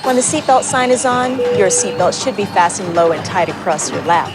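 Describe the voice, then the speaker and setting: Animated woman over loud music. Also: medium pitch, moderate pace. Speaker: safety video presenter. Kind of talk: scripted safety instructions.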